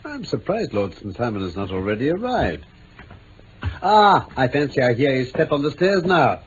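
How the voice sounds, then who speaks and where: An actor playing a keen, amused, self-assured English gentleman detective in mid-century radio drama style, urbane and precise. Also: mid-range voice, very animated, normal speed, close to an everyday voice. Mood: urbane, knowing. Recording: muffled, noisy old radio recording.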